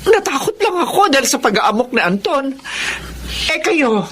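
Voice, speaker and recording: A woman's voice: sits high, big pitch swings, moderate pace, a little hiss or hum. An actress playing a shaken but caring female company boss in a Filipino radio drama. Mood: shaken, relieved.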